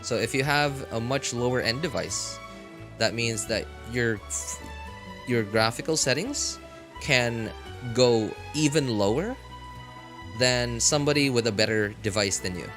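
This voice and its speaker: A man's voice: medium pitch, unhurried. Casual male streamer, music playing behind. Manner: rambling, repeats phrases, slangy. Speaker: game live streamer. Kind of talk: casual livestream chat. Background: background music.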